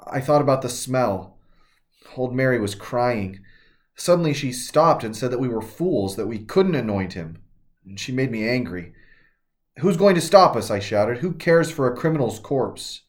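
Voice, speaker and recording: A man's voice: medium pitch, animated, normal speed, lightly acted. A man voicing a shaken, grieving woman disciple in an intimate first-person devotional monologue, urgent and trembling. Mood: distraught. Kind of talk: devotional reading in character.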